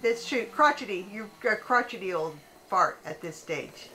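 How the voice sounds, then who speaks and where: Bright, playful woman in casual chat. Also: medium pitch, expressive, normal speed, slight background noise. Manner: playful, teasing. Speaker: vlog co-host behind the camera.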